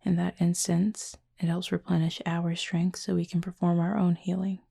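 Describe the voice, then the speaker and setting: A woman plays an affectionate Cupid roommate in an intimate audio roleplay. The voice is low and mellow, often dropping to a breathy near-whisper. It moves in slow, measured, slightly formal phrases, with creaky edges and a tender, wistful temper. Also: flat delivery. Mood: calm, explanatory. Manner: slow, measured, formal storytelling with soft pauses. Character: gentle adult Cupid roommate, wistful, affectionate, softly teasing.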